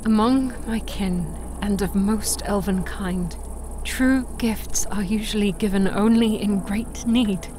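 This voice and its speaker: An actress playing a wise, serene elven mother in a fantasy audio drama, with gentle, formal, measured phrasing. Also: mid-range voice, fairly even intonation, noisy background, natural voice. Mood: grave, reassuring.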